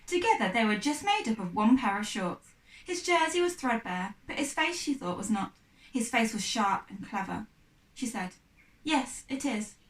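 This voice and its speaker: A woman's voice: a little high, big pitch swings, normal speed. Woman reading a storybook, echoey room. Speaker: teacher reading aloud. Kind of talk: children's storybook narration. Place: echoey room, away from mic. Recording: echoey room, distant mic.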